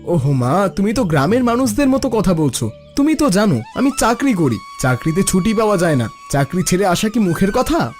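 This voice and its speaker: Man voicing a high-pitched boastful city-returned son. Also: very animated, brisk. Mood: breezy, dismissive. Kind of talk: Bengali cartoon voice acting. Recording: music bed behind the voice.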